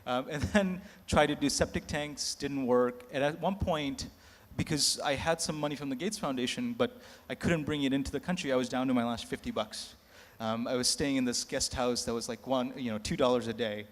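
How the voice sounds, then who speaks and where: A man's voice: medium pitch, brisk. A man, telling candid, self-mocking stories as a panelist at a conference. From United States. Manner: candid, self-mocking, laughs between lines. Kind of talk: candid anecdotal storytelling. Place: large conference hall. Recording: echoey hall reverb.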